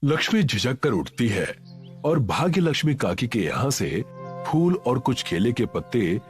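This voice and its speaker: A male storyteller narrates a scripted tale with very lively intonation. His mid-pitched voice sits over faint music. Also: measured pace, slightly rough.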